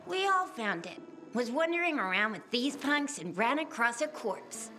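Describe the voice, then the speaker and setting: A cheeky young street girl from a video game. Her voice is high and sharp, jumping about with a brash, slangy swagger. Faint music sits behind her. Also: big pitch swings, moderate pace. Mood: cocky.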